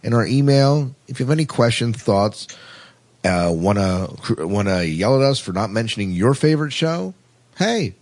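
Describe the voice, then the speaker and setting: A man's voice: mid-range voice, animated, moderate pace, a little mellow. A man co-hosting a podcast in a casual, informal, conversational way. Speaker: podcast co-host.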